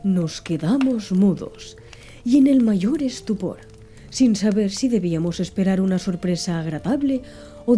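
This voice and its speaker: A woman narrating an audiobook in a soft, slow, hushed ASMR style. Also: medium pitch. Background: faint background music.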